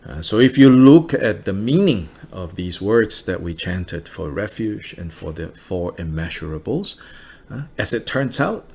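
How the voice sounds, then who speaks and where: A man giving a relaxed Buddhist teaching over a video call, laughing often and using many fillers. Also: low voice, unhurried, slightly rough.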